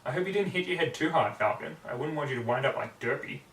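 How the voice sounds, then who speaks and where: A man voicing a brash, cocky young female pegasus pony from a story, hammy, blunt and excitable, in a roomy, distant recording. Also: a little high, quick pace, a little hiss or hum. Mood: musing. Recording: roomy echo, distant microphone.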